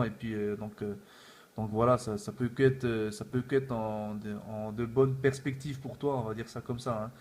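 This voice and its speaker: A man's voice: medium pitch, a little mellow. Casual Frenchman chatting on CB radio. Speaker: amateur CB radio operator. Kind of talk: casual radio contact chat. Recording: faint background noise.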